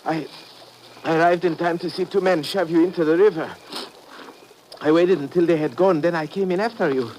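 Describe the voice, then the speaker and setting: An actor playing a wary, grave village shopkeeper and guide with a Central European accent and formal, deliberate phrasing, in old radio drama style. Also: male voice, on the high side, darkish tone, natural voice. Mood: hushed urgency. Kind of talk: 1950s radio adventure acting. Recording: noisy vintage radio recording.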